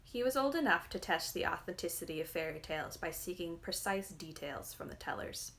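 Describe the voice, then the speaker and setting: A woman reading literary fiction aloud in a measured, expressive storytelling manner. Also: fairly low pitch. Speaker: reader.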